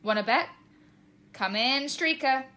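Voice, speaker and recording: A woman's voice: high-pitched, expressive, slow, pushed and bright, voice pushed up, heavily acted. A woman plays a cheeky schoolboy in a children's storybook. He talks in a wry way, giving out lines like a pretend military commander. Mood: urgent, commanding.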